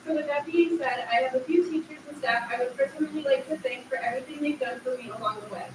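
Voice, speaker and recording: A woman's voice: high-pitched, quick pace. A woman in her thirties reading a sincere, emotional graduation speech from a podium. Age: 30s. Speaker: graduating adult student giving a speech. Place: large echoey hall at a graduation ceremony. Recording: echoey hall, distant mic, faint noise.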